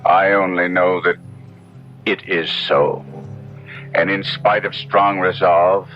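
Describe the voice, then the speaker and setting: A man's voice: low-pitched, flat delivery, slow. An actor playing a proud, tormented young Italian nobleman who recounts his downfall in florid, theatrical 1970s radio drama narration. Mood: anguished, confessional. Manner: florid, grandiloquent, theatrical delivery. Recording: muffled 1970s radio broadcast with music.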